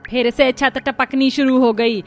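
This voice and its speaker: A woman's voice: high-pitched, steady tone, a little slow, faint music behind. A woman voicing a bitter middle-aged mother-in-law in a cartoon story, scolding and complaining with sarcasm. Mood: sarcastic, nagging. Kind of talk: Hindi cartoon story dubbing.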